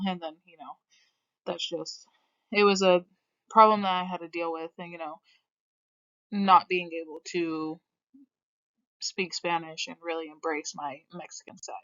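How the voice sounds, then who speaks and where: A woman's voice: medium pitch, smooth. A young American woman talking candidly about her own life in a reflective monologue, full of casual filler phrases. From United States. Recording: slightly dull lo-fi sound.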